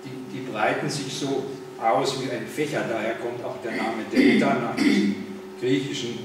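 An older man with a Berlin accent gives a relaxed public lecture, dropping fillers and turning to the audience with questions. He stands well back from the camera, so his voice comes across thin and distant. The room rings with heavy echo, and a steady background hiss sits under him. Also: mid-range voice, measured pace. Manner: conversational, with frequent fillers and asides to the audience. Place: large indoor meeting room. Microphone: far camera, no mic.

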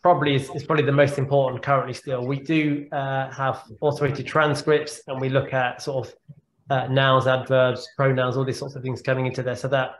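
A man's voice: mid-range voice, flat delivery, fairly quick, a little hiss or hum. A man in his forties, a neurologist, answering audience questions on a video call, thoughtful and often repeating words as he thinks. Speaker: guest speaker, neurologist. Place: home room on a video call. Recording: echoey video-call audio.

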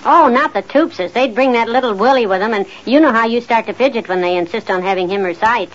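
Woman as patient middle-aged wife, higher pitch, gently teasing, old radio comedy, dull vintage recording. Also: a little fast, close to an everyday voice. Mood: homely, chatty. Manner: gently chiding. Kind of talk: 1940s-50s radio comedy acting.

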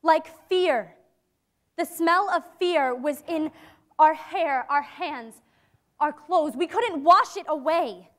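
An earnest teenage girl in a stage drama, played by a young woman. Her voice is high and youthful, and she phrases her lines deliberately, holding on to hope as someone who has lived in hiding. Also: lively intonation. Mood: sorrowful recollection. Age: young adult. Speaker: actor in a staged reading.

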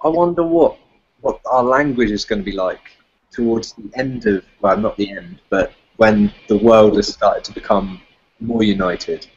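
Husky guy musing on a call, bit unsure, muffled sound. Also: lowish voice, normal speed. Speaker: call participant. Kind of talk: casual video call chat. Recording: dull lo-fi video call audio.

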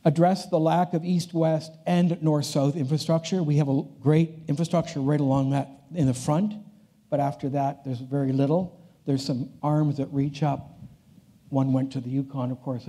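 A man's voice: mid-range voice, steady tone, moderate pace, gravelly, dark tone. An older man giving a conference keynote on a large stage, talking in a loose conversational way that often restarts its phrases. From Canada. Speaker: keynote speaker.

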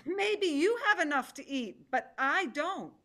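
A woman's voice: sits high, lively intonation, measured pace, sharp tone, full character voice. A woman in a dramatic play reading, playing a defiant village mother with an emphatic, challenging manner. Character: defiant village mother protecting her own needs.

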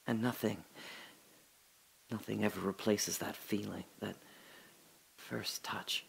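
A man performing a reflective audio drama monologue, speaking slowly and often dropping to a whisper. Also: fairly low pitch, steady tone, low-quality recording. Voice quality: slow, gravelly and husky, often dropping to a whisper. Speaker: radio drama narrator and lead.

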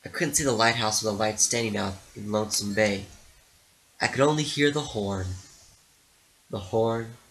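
A man narrating a literary story dramatically, with theatrical, deliberate phrasing. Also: on the low side, a bit bright, rough recording.

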